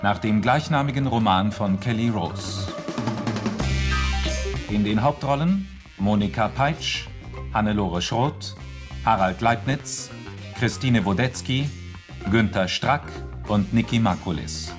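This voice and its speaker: A man's voice: fairly low pitch, slow pace, pauses often. A man reading scripted credits as a radio announcer. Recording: music under the voice. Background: loud music with drums and guitar.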